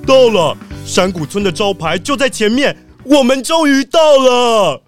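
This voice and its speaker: Guy doing an earnest police captain in a kids mystery, high for a man, very animated. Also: unhurried, faint music behind. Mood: excited. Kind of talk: children's audio detective drama.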